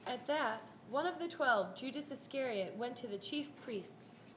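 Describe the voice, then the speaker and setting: A woman's voice: high voice, expressive, a little slow, a bit bright. A woman narrating a scripted scripture story as part of a reader's theater performance. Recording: echoey room, faint background noise.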